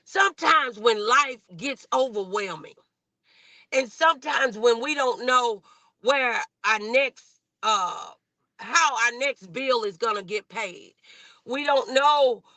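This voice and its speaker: A woman's voice: fairly high pitch, slow, sharp tone, no echo, poor audio. A woman hosting a motivational livestream, preaching in a folksy, exhorting way with repeated phrases, speaking from inside a car. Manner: folksy, colloquial. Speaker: host of a morning motivational show.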